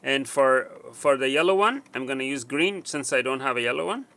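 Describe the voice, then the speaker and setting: A man giving a hands-on wiring walkthrough, halting with fillers and restarts, counting pins aloud as he points. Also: medium pitch, lively intonation, bright, forceful tone. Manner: halting, with frequent fillers and restarts while working. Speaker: technician demonstrating wiring.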